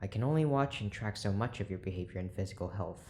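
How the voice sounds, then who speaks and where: A man performs a cold prison neurology doctor with a lowish voice. He speaks in clipped, formal phrases, officious and curt, as in an audio drama. A faint noise sits behind him. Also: fast, a little mellow, close to an everyday voice. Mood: impatient, curt.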